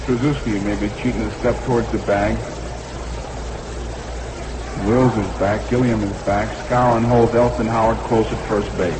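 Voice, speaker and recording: Male baseball announcer, 1960s radio style, mid-pitched, clipped delivery, clearly noisy archival broadcast sound. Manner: short clipped phrases, repeats the count. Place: ballpark broadcast booth.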